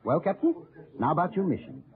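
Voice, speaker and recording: An actor playing a wily, exuberant French resistance leader in old radio drama style, theatrical, with a French accent. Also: male voice, a little high, wildly swooping pitch, fairly quick. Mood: confident, earnest. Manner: theatrical, repetitive, chuckling. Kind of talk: 1940s-50s radio drama acting. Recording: tinny, band-limited old radio broadcast, crowd behind.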